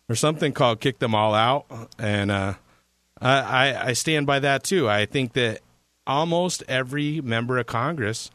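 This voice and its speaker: A man's voice: medium pitch, fairly bright tone, no room sound. A man filling in as a talk radio host, rambling casually with a sarcastic edge and frequent restarts.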